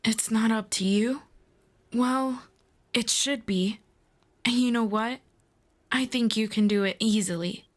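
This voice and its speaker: A woman playing a proud, earnest demon princess in a fantasy audio roleplay, speaking in a formal, deliberate, persuasive way. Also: on the high side. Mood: surprised, insistent.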